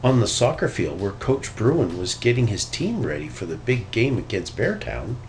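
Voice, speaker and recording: Animated man reading a children's story. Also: on the low side, moderate pace, slight background noise, poor audio. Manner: expressive read-aloud delivery. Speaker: storybook reader. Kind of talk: scripted children's story narration.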